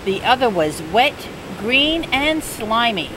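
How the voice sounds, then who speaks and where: An older woman reading a children's picture book aloud in a gentle, unhurried bedtime-story manner. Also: a little high. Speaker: storybook reader. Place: outdoors by the sea. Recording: steady outdoor noise.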